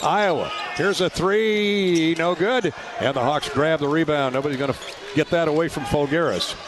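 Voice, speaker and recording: A man doing live radio basketball play-by-play in clipped running phrases that rise to shouts on big plays. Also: a little high, normal speed. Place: courtside in a basketball arena. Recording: noisy arena ambience, bouncing balls. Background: loud court noise, bouncing basketball.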